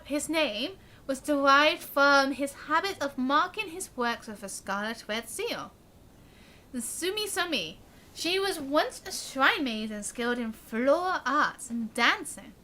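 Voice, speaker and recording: A woman's voice: sits high, expressive, measured pace, bright, forceful tone. A woman live streaming a game, reading its dialogue aloud and adding short casual reactions.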